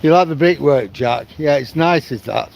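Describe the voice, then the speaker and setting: A man's voice: on the high side, normal speed, creaky, darkish tone, a little hiss or hum. A man from Manchester with a mixed northern English accent, chatting casually to viewers on a livestream as he walks a riverside promenade. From Manchester, England. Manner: chatty, answers viewers' comments by name. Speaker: livestream vlogger. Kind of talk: casual walking livestream chat.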